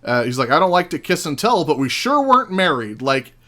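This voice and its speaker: A man's voice: a little high, very animated, a little fast, pushed and bright. A man appearing as a podcast guest, chatting casually and profanely and dropping quotes into his explanations. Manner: informal, profane, acts out quotes conversationally. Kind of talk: casual chat.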